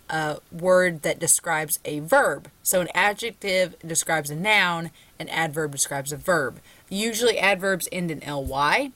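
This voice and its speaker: A woman with a Southern US drawl delivers a recorded college English lecture from her computer. Her voice sits a little low, and she speaks conversationally and encouragingly, with plenty of ums along the way. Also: sharp tone, dry recording. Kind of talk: recorded lecture with screen share.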